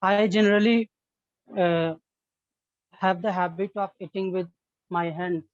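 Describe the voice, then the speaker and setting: A woman, a non-native English learner, practising sentences in a speaking exercise in a hesitant, halting manner. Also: on the low side, steady tone, no room sound. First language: not English. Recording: muffled, narrow phone-like call audio.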